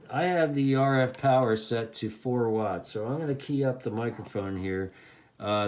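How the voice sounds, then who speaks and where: A man's voice: mid-range voice, darkish tone. A man giving a casual, enthusiastic product demonstration in an informal, folksy way, like a reviewer talking to hobbyists. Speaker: radio reviewer.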